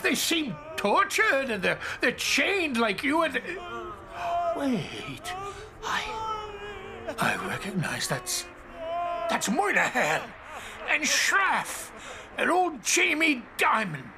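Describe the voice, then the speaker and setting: An actor playing a frightened, querulous old miser in a theatrical radio drama, stammering and halting with a quaver. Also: male voice, very high-pitched, huge pitch swings, vocal fry, noisy background, heavily acted. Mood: alarmed.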